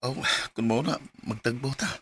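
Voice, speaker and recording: An actor playing a married man who is a smooth, secretive seducer, coaxing in a Cebuano Filipino accent in a radio drama. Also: medium pitch, moderate pace, lightly acted. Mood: seductive. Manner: suggestive, coaxing. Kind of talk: radio drama acting in Bisaya.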